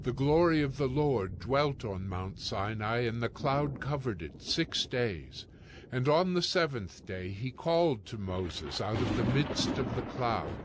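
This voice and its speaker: A man narrating scripture from a script in a solemn, measured manner. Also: mid-range voice, expressive, noisy background.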